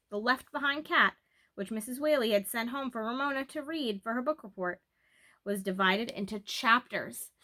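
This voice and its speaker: Bright-voiced woman reading a children's story. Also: a little high. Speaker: read-aloud narrator. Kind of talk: reading a children's chapter book aloud, expressive storytelling.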